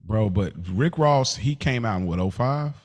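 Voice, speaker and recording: Animated American man, exasperated in debate. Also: medium pitch. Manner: halting, repetitive, exasperated pleading. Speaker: podcast co-host. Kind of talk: heated casual debate.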